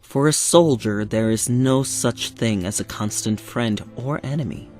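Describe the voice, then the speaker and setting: A man playing a stern, cryptic veteran soldier and mentor, lecturing in a measured, solemn way for a parody. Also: mid-range voice, a little mellow, soft background music, natural voice. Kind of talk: parody dub acting.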